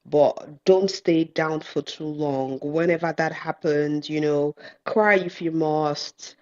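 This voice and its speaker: A woman with a very low voice, speaking in an encouraging, conversational way. The audio is dull and lo-fi. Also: fairly even intonation, slow pace, a little mellow. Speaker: panelist. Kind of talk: reflective group discussion. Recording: muffled lo-fi audio.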